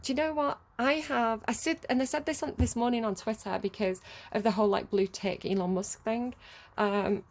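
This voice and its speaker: A woman's voice: fairly high pitch, fairly even intonation, moderate pace. A British woman giving a casual tarot reading, chatty and rambling, laughing often. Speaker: tarot reader.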